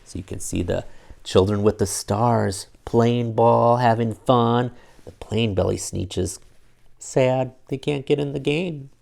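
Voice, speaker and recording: A middle-aged man reading a children's picture book aloud, expressively, pausing to explain things to young listeners. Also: medium pitch, gravelly, a little mellow. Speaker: storytime reader.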